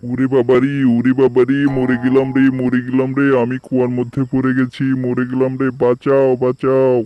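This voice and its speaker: A man's voice: medium pitch, monotone, moderate pace, highs cut off, faint music behind, close to an everyday voice. A man voicing a foolish, hot-tempered tiger uncle in a cartoon fable, blustering in manner. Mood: panicked wailing. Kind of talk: animated fable character voice acting.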